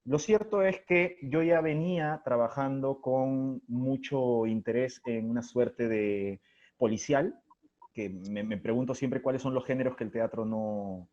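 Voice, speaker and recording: A Peruvian man speaks at an average pitch as an interview guest. He is articulate and discursive, building his thoughts aloud with pauses. The sound is a little dull and lo-fi. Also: darkish tone. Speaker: interview guest, radio drama creator. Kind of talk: reflective interview talk.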